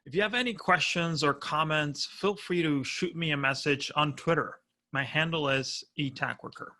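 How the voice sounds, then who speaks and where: A man's voice: fairly high pitch, moderate pace, sharp tone. A man in his thirties from Argentina with an Argentine Spanish accent, giving a friendly, explanatory prerecorded conference talk from home. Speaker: conference speaker.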